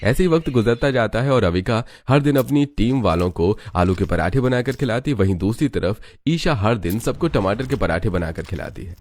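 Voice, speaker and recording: A man's voice: on the low side, animated, moderate pace, hardly any pauses, darkish tone. A man narrating a scripted family story as its storyteller.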